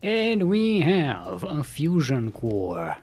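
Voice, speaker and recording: Animated, higher-pitched male game commentator. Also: extremely slow, breathy edge, soft background music. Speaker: esports tournament commentator. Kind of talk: casual, joking game commentary with banter.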